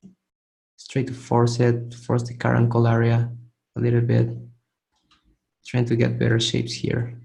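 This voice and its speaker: A man teaching a live online art webinar, hoarse and losing his voice, who keeps clearing his throat and gives casual, encouraging feedback with frequent restarts. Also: mid-range voice, completely flat delivery, measured pace, pauses often, warm tone. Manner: casual, encouraging, restarts phrases while demonstrating. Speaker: art instructor giving feedback. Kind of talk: live webinar critique of 3D sculpts.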